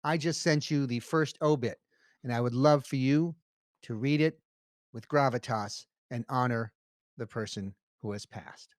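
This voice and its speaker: Deadpan male comedy podcast co-host, very dry sound. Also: mid-range voice, a little slow, no room sound. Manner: deadpan, mock-earnest.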